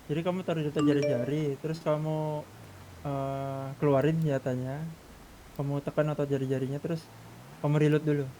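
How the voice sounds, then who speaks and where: A man's voice: a little high, little pitch movement, brisk, background noise. A man with an Indonesian accent chatting casually during a roleplay game. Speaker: gamer in a GTA roleplay server.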